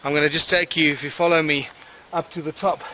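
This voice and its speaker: A man's voice: fairly high pitch, little pitch movement, fast talker, pushed and bright, a little hiss or hum. A man presenting an informal outdoor guided tour in an enthusiastic, marvelling manner. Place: outdoors at a rocky outcrop. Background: music.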